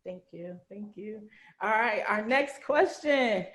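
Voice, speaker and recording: A woman's voice: mid-range voice, animated, takes it slowly, fairly bright tone. A woman hosting a casual group video call in a chatty, informal way. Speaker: host of cast meetup, writer-director. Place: indoor room on a video call. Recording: roomy, echoing video call.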